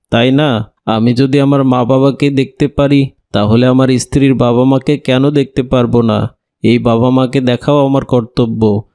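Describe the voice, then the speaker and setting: Man as kind brother-in-law, average pitch, earnest, respectful yet firm, cartoon drama, dry studio sound. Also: a little mellow, dry recording, lightly acted. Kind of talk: Islamic moral cartoon dialogue.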